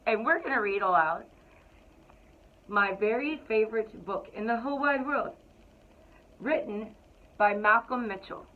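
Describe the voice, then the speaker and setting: Woman reading aloud, thin phone-like sound. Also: on the high side. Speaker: educator reading aloud to school students. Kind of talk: read-aloud of a children's picture book.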